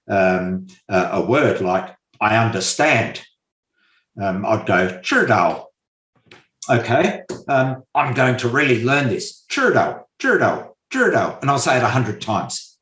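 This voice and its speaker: Slow, deliberate man in an echoey room. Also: lowish voice, animated, a bit bright. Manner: slow, deliberate, repeats key points with hesitant fillers. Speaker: guest expert on memory and mind maps. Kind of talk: explanatory interview talk. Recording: roomy echo.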